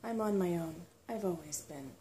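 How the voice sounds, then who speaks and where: A woman voicing a shy, eager young boy in a storybook, soft and hesitant. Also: fairly low pitch, normal speed, slight background noise, natural voice. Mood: hesitant hope. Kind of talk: soft storybook character reading.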